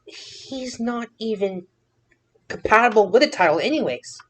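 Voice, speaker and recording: A man co-hosting a casual wrestling podcast, excitable and stammering, often repeating his phrases. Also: sits high, measured pace, poor audio. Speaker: podcast co-host.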